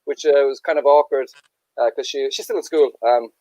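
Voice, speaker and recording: A man doing stand-up over a video call, telling a crude anecdote with self-mocking, deadpan asides. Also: mid-range voice, fairly quick, no room sound, low-quality recording. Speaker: stand-up comedian.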